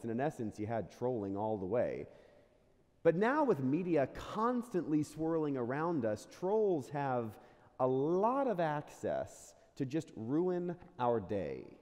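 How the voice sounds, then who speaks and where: A middle-aged American man preaching a sermon as pastor, earnest and deliberate, speaking into a pulpit microphone in a church. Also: medium pitch, warm tone, in a large hall. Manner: earnest, deliberate rhetorical delivery with questions and pauses. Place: large church sanctuary, indoors. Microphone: pulpit gooseneck microphone.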